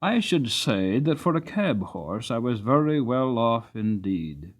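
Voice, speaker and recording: A man's voice: mid-range voice, big pitch swings, unhurried. A man reading a classic novel aloud as an audiobook narrator, in a dramatic storytelling style.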